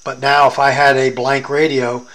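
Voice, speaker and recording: A man's voice: medium pitch, level tone, normal speed, fairly bright tone. An American man demonstrating a product in a casual hands-on tutorial, explaining informally step by step.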